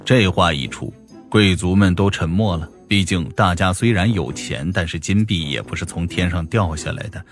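A man's voice: low-pitched, normal speed. A man narrating a scripted audiobook story. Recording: music behind the voice.

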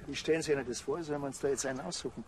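A man's voice: mid-range voice, rapid-fire, background noise, close to an everyday voice. A man with a Bavarian accent playing a blunt, sardonic village policeman in a TV drama, offhand in manner.

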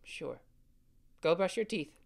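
A gentle, caring big brother. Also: male voice, fairly high pitch, animated, slow, vocal fry, exaggerated voice. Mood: soothing. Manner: gentle, soothing, reassuring. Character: caring older brother, young, calm storyteller. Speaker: voice actor. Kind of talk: family audio drama acting.

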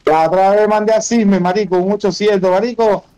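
Fast, high-pitched Venezuelan man gaming. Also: steady tone, dark tone, poor audio. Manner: slangy, bossy, repeats instructions. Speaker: gaming streamer. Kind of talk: casual gaming chat with teammates.

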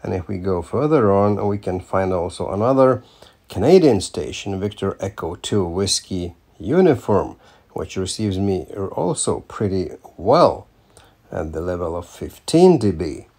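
An older man giving a casual hands-on demonstration, speaking haltingly and repeating words as he goes. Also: deep voice, sing-song, dark tone. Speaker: amateur radio operator presenting a field test.

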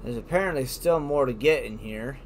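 A man's voice: mid-range voice, a little fast. Man doing casual gameplay commentary, noisy background. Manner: informal, thinking aloud. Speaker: gaming video host. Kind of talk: casual let's play commentary. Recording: clearly noisy, game ambience behind.

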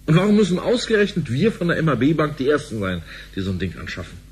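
A man's voice: fairly high pitch, very animated, fast talker, slight background noise. An actor playing a grumbling, suspicious man who works at a bank, in conversational radio play style. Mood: uneasy, grumbling.

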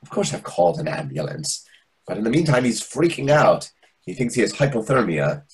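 A man's voice: fairly low pitch, sing-song, a little fast, husky, heavily acted. A man in his thirties playing a dramatic, flustered, camp waiter in a play reading over a video call, indignant and arch. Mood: exasperated, arch. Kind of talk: readers theatre play reading.

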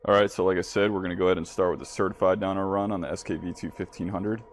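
A man with a low voice presents a hands-on product test. He talks casually and informally, addressing the viewers directly as he narrates each step. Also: steady tone, racing, slight background noise.

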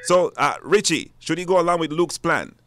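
A man's voice: on the high side, animated, normal speed, fairly bright tone. A man with a Belizean accent hosting a live radio call-in show, putting questions to callers. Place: radio studio.

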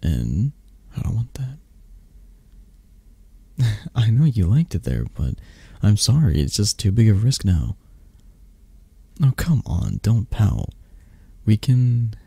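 A man playing a soft, possessive mafia boss in intimate ASMR roleplay, doting in a hushed, halting, soothing murmur. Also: fairly low pitch, gravelly, husky, no room sound, exaggerated voice.

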